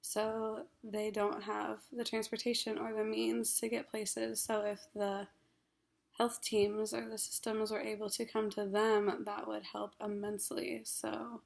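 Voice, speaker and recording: Hoarse young woman, flat halting delivery. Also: medium pitch. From Ohio, USA. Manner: halting, many ums, frequent throat clearing. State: hoarse, losing voice from a cold. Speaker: graduate counseling student. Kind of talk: class self-introduction video.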